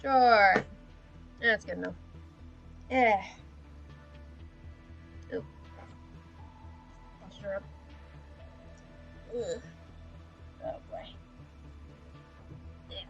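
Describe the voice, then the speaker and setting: A woman's voice: mid-range voice, very slow, gravelly, long pauses, lo-fi sound, over music. A young woman from Australia with a slightly American-drifting Australian accent, chatting casually and rambling with self-deprecating laughs while drawing on a live stream.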